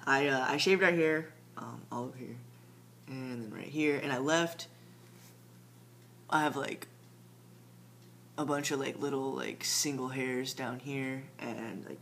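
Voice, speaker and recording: Young man's quiet rambling late-night vlog. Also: mid-range voice. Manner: rambling, filler-heavy, quiet and mumbly. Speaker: vlogger. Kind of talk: casual late-night video diary. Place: home at night. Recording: faint hum behind the voice.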